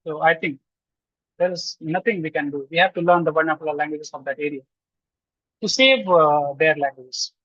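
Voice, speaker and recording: A man from Lucknow with an Indian English accent, answering questions as a guest researcher with many pauses and restarts. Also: a little high, no room sound. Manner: frequent "uh" pauses and restarts, reasoning out loud. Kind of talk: webinar answers, hesitant and filler-heavy. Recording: dull, dry video call audio.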